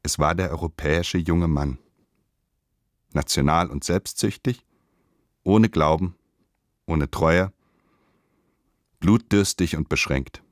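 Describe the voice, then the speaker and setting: Deep male radio drama narrator, slow and declamatory, super dry close sound. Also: dry recording. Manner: measured, declamatory, emphatic phrasing.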